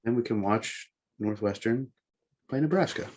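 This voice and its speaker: A man's voice: lowish voice, normal speed, darkish tone. A man co-hosting a sports podcast, going through sports news and talking it over. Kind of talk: sports news read and discussion.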